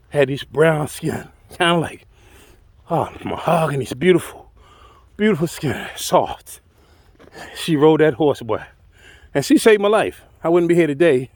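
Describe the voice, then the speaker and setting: Male storyteller, notably high voice, dry close sound, colloquial and dramatic delivery. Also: no room sound. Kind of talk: animated first-person tall-tale storytelling.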